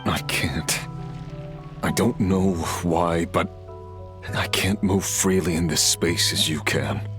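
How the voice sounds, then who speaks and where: Very deep, weary resurrected warrior. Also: male voice, music in the background. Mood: solemn, pleading. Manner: slow, grave, halting delivery with heavy pauses. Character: resurrected male abyss-corroded warrior, sombre, weary, remorseful former villain. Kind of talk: dramatic video game dialogue.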